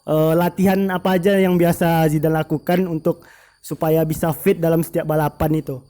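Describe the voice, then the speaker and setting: A man's voice: on the high side, level tone, brisk, warm tone. A male motovlog host interviewing a racing team, chatty and full of fillers, often turning to speak to his viewers. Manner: chatty, frequent fillers, keeps addressing viewers as friends. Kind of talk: casual interview, addressing viewers.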